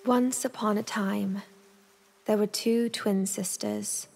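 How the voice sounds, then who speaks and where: A woman voicing a nervous young huntress in a video game, soft-spoken and hesitant. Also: medium pitch, fairly even intonation, slow, vocal fry, a little hiss or hum. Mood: narrating. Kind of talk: video game narration and dialogue.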